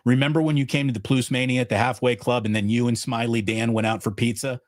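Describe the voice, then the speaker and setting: A mid-pitched man co-hosting a casual sports talk show. He talks emphatically and repeats phrases to drive a point home. The sound is dry and close. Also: fairly even intonation, quick pace, dry recording.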